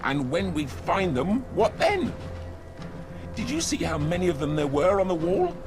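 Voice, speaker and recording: An actor playing a sly, argumentative, whining soldier in a dramatic fantasy video game. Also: male voice, high voice, big pitch swings, moderate pace. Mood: complaining. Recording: music behind the voice.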